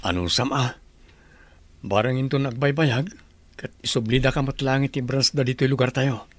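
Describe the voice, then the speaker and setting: A man's voice: medium pitch, normal speed, lightly acted. A man playing a humble, patient young jobless villager in a radio drama. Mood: earnest. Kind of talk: Ilocano radio drama acting.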